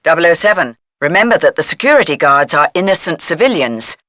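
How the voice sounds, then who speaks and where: A spy agency handler in a video game. Her voice is bright and forceful, recorded very dry. She is businesslike and instructive, guiding an agent through a mission. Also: low voice, animated, fairly quick, dry recording.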